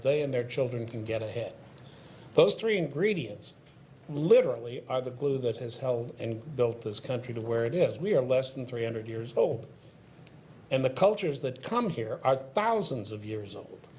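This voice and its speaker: An older man talks on a discussion panel at a middling pitch. He explains in a measured, thoughtful way, building his points like a lecturer. A faint noise sits under the recording. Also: a little mellow. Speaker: panel guest.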